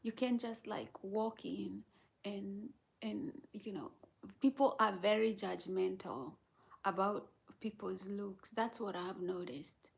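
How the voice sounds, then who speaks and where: Slow, gravelly-voiced woman chatting. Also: medium pitch. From Kenya. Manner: unhurried, rambling, talks to viewers. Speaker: livestreamer. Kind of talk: casual live-stream chat.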